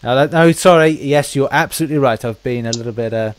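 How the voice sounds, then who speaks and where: Halting male podcast interviewer, mid-pitched. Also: no room sound. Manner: halting, stumbling over phrases. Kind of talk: casual interview chat.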